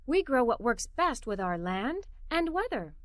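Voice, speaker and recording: A woman voicing a friendly woman farmer explaining things in a measured way in a children's story. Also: high voice, big pitch swings, no room sound. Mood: friendly, informative.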